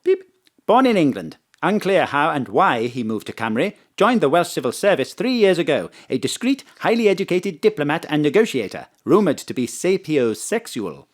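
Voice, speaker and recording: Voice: medium pitch, big pitch swings, normal speed. An actor voicing a polite, literal-minded robot assistant in an audio drama, reciting facts in clipped, formal phrases. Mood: obliging.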